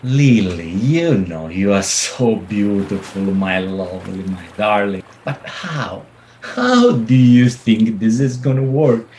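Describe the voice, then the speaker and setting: An actor playing a seasick, smooth-talking businessman husband in a radio play, melodramatic and whiny. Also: fairly low pitch, expressive, takes it slowly, darkish tone. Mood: swooning. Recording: faint background noise.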